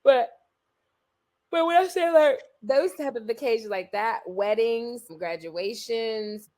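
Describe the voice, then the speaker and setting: A woman's voice: a little high, slow pace, a little mellow. A woman co-hosting a podcast in casual chat, talking emphatically and repeating phrases to drive a point home. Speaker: podcast co-host.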